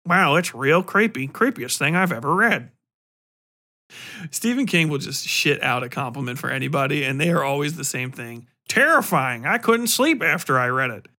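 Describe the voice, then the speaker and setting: A man talking casually as a podcast host reviewing books, slangy and full of swearing, animated and given to acting out mock exchanges. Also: fairly high pitch, moderate pace. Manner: profane, slangy, informal. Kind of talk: casual rambling book review talk.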